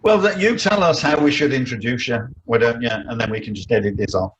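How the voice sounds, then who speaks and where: A man co-hosts a relaxed martial arts podcast, joking and chatting with his guest. His voice sits in a middle range, and there is a faint hiss behind him. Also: mid-range voice, quick pace, highs cut off. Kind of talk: casual chat.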